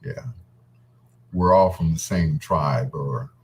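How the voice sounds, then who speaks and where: A man's voice: a bass voice, takes it slowly, slight background noise. A man hosting a radio show, telling personal stories in a casual, rambling, reminiscing way. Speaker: radio host. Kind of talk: casual storytelling between love songs.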